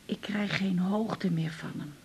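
An actress playing a worried, hesitant middle-aged mother with a husky, breathy voice in a radio drama. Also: mid-range voice, fairly even intonation, measured pace, a little mellow, slight background noise, poor audio. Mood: worried, helpless. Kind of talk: naturalistic 1980s Dutch radio drama acting.

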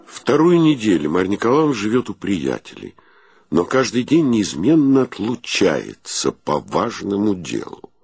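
Male wry radio-play storyteller: very low, creaky, dry close sound, measured irony. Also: wildly swooping pitch, a little mellow, no room sound, faint music behind, exaggerated voice. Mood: measured storytelling. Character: wry male storyteller narrating a comic tale. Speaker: narrator. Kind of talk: radio play literary narration.

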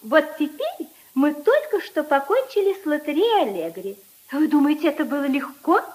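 A coquettish society lady in 1950s radio drama style. Her voice sits extremely high and moves in lively swoops. She gushes and sighs over her charity duties with theatrical emphasis. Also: moderate pace, poor audio. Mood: coquettish complaining. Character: flirtatious, gushing society lady fussing over charity work.

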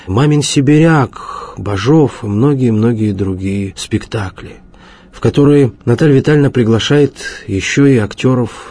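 A man's voice: medium pitch, darkish tone, husky. A man reading scripted narration for a radio documentary.

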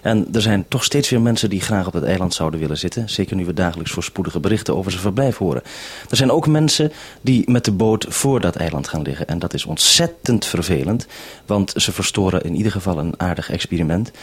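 Male radio presenter, low-pitched and husky, introducing and interviewing. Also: expressive, fairly quick. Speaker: radio host.

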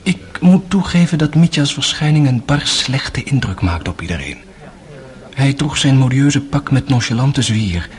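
A man narrating a radio drama as a grave, sorrowful young brother, in measured, literary phrases that sink to a hush. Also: medium pitch, very animated.

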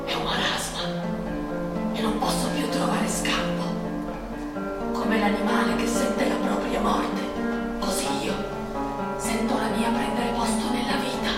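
Woman acting suffering but defiant lady painter, theatrical monologue, really echoey, loud music behind. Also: on the high side, recorded from a distance, natural voice. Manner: impassioned, dramatic delivery with drawn-out words. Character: Frida Kahlo, pained, defiant woman painter of forty-seven.

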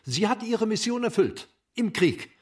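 Agitated male party politician, radio play. Highish, very animated, emphatic, heard at a distance. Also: unhurried, husky, full character voice. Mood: bitter, resigned. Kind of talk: radio drama acting.

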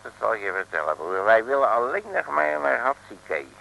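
A man's voice: mid-range voice, sing-song, brisk, creaky, pushed and bright, full character voice. A man playing a blustering, bumbling detective who hems and grumbles in a comic Dutch radio serial. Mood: blustering bravado. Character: blustering, bumbling male detective boasting of wrestling grips. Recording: noisy old radio recording.

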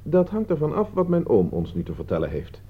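A husky-edged, smug schoolmaster in a radio play. He lectures with self-assurance and a very animated melody. The old recording sounds dull. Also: fairly high pitch, brisk, exaggerated voice. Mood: self-satisfied. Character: smug, clever male teacher who stages a cruel prank. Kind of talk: radio play acting in Dutch.

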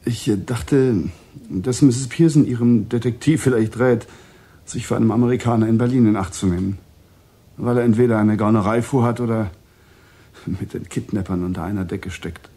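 An actor playing a guarded, evasive man in a crime radio drama, with a husky, mellow voice. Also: on the low side, fairly even intonation, moderate pace. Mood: wary. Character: guarded, evasive American man caught up in a kidnapping.

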